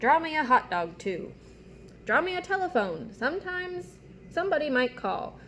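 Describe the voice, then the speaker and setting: A woman's voice: high-pitched, sing-song, normal speed, a bit bright, slight background noise, voice pushed up. A woman voicing a grumpy, demanding picture-book monster that growls blunt orders.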